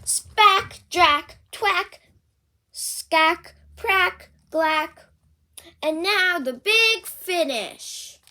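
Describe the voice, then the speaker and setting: A reader voicing an excitable, bossy female storybook pig who exclaims and blurts out loud nonsense. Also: very high-pitched, very slow, pitched above the performer's own voice, exaggerated voice. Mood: loud blurting.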